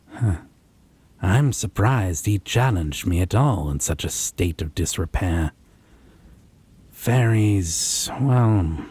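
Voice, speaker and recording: A man playing a possessive, condescending vampire lover in a roleplay audio drama, haughty and deliberate with a menacing, husky delivery. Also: sits low, darkish tone, heavily acted. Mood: smug, possessive. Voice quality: breathy, husky.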